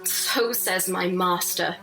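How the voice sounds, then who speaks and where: A woman acts a young female Jedi apprentice in an audio drama, intense and questioning. Her voice is bright and edged, and she speaks at an unhurried pace. Music plays behind her defiant words. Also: on the low side, fairly even intonation, full character voice, poor audio. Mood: bitter, defiant.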